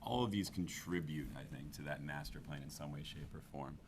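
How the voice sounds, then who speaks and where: A man on a town select board, asking a guest questions at a public meeting in a deliberate, listing way. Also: very deep voice. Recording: noisy meeting room audio.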